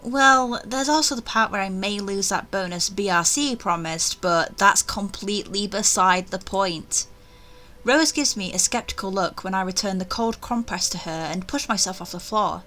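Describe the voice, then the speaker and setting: A woman reading a visual novel aloud in first person, animated and chatty, with offhand asides. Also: medium pitch, moderate pace, soft background music.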